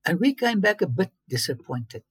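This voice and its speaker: A fairly high-voiced man, raspy and gravelly, recounting his own story in English with sudden emphatic bursts. His speech halts and restarts as he goes. The sound is dry and close, with no room around it. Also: sing-song, moderate pace, dry recording. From South Africa. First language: Afrikaans. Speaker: interview guest.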